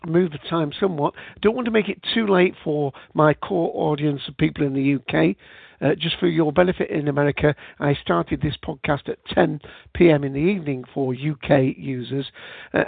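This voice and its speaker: A man's voice: a little high, vocal fry, husky, no echo. A British man hosting a casual podcast into a USB headset, rambling with frequent fillers. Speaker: podcast host. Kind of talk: casual solo podcast talk. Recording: tinny phone-like line.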